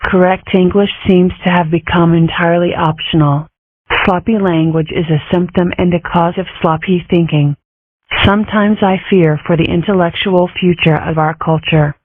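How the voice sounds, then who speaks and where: A woman's voice: fairly low pitch, steady tone, moderate pace, no echo, lightly acted. A woman voicing an exasperated, pedantic lawyer mother in a cartoon comic dub. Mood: indignant. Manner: pedantic, lamenting. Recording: tinny, phone-like audio.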